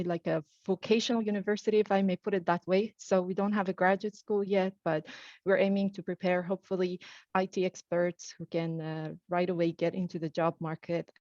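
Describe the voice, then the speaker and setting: A woman from Iran, a university English lecturer, introducing herself on a video call in a clear, orderly way with light hesitations. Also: fairly low pitch, a little mellow, no echo, lo-fi sound.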